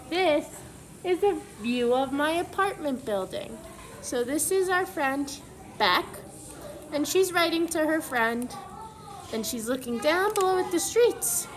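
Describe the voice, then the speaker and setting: A woman preschool teacher reading a picture book aloud to young children, pausing to ask them questions in an instructive way. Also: high voice, rough recording.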